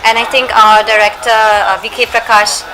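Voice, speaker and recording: A woman's voice: medium pitch, level tone, moderate pace, crowd noise, poor audio. A young woman, an actress, chatting playfully and laughing often in a press interview; her first language is not Hindi. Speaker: actress promoting a film.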